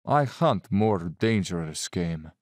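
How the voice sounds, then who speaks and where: An actor in an audio drama playing an urbane Cossack general and hunter, courtly and self-satisfied, with quiet menace. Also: male voice, low-pitched, animated, slow pace, dry recording, clean studio sound. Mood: smug, ominous.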